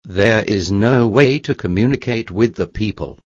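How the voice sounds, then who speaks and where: A man's voice: lowish voice, a little slow, darkish tone. A man reading a scripted mock emergency broadcast as a radio announcer. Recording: dull, echoey lo-fi audio.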